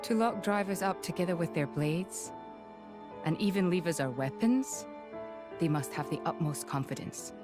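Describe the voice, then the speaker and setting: Actress as cool stern military lady, lowish voice, formal and measured, music playing under it. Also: natural voice. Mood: grim, firm. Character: composed, stern military noblewoman, cool and pragmatic. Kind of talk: voiced game cutscene acting.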